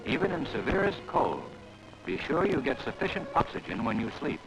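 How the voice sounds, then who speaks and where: A man's voice: a little high, big pitch swings, fairly quick, creaky, highs cut off. A man reading formal, instructive scripted narration on a 1940s training film soundtrack. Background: music.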